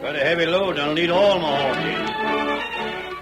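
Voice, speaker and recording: An actor playing a folksy, self-interested wagon driver in an old-time radio western. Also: male voice, on the high side, wildly swooping pitch, unhurried, music in the background. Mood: firm refusal.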